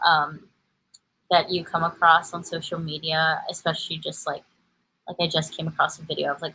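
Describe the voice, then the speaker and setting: A woman's voice: low voice, sharp tone, slight background noise, low-quality recording. A young woman from Kauai in her twenties, a podcast guest, chatting with many "like" fillers. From Kauai, Hawaii. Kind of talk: casual conversation.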